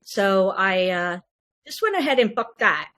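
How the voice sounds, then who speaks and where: A woman's voice: medium pitch, animated, measured pace, fairly bright tone. A woman on a podcast, casually recounting a trip in a rambling way with many fillers and restarts. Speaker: podcast guest. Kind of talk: casual storytelling.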